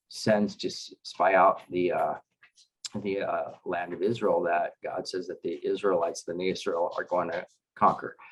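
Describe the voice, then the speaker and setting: A middle-aged man, a chaplain, teaching a casual scripture class over a video call, halting with lots of filler sounds and dropping wry asides. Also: deep voice, rough recording. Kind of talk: casual online scripture lesson. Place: home with dogs in another room.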